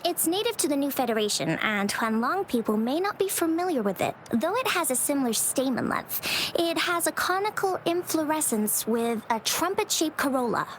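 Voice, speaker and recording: High, lively young female botanist for a game, shy but eagerly precise. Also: normal speed, a little hiss or hum, low-quality recording. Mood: absorbed, informative. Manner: precise and explanatory, modest. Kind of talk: video game voice acting.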